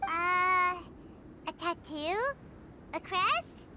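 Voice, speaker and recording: A woman voicing a tiny, childlike fairy companion in a video game, squeaky, excitable and full of naive curiosity. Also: drawn-out words, heavy background noise, exaggerated voice. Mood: curious. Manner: exclaiming, inquisitive, naive. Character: tiny childlike flying fairy companion, excitable, speaks of herself in third person.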